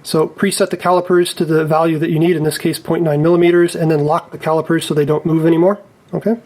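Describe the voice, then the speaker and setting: A man with a slightly high voice talks through a hands-on repair as a technician would in a tutorial. He explains each step methodically and carefully, in a casual, unscripted way. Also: flat delivery, fast, a little mellow.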